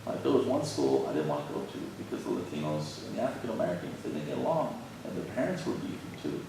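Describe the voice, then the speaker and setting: A man's voice: fairly low pitch, sing-song, quick pace. A man speaking in a conversational, opinionated way in an informal group discussion, recorded in a large room on a distant microphone. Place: large indoor arts-center room. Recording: echoey hall, distant mic, clear background noise.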